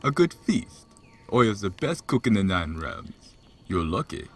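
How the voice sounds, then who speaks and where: A man's voice: big pitch swings, unhurried, soft background music. A man playing a big, easygoing, jovial god in an audio drama, with a hearty, teasing manner. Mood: jovial boasting. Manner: booming, laughing, leisurely teasing.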